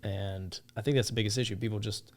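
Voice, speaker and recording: A man in his thirties guesting on a podcast, blunt and profane, preaching about accountability into a studio boom mic. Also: steady tone, fast, dry recording. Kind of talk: casual podcast conversation. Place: podcast studio, grey wall. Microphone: studio mic on boom arm with headphones.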